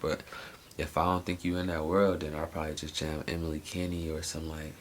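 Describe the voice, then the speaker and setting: A man's voice: a bass voice, level tone, brisk, faint music behind. A man in his late twenties talking through his day in a loose, rambling vlog monologue, full of slang and restarts. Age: 20s. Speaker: vlogger. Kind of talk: casual personal monologue.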